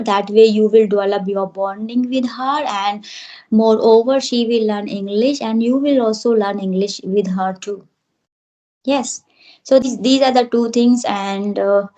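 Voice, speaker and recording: A woman's voice: on the high side, darkish tone. A woman English teacher giving firm, reassuring advice in an interview.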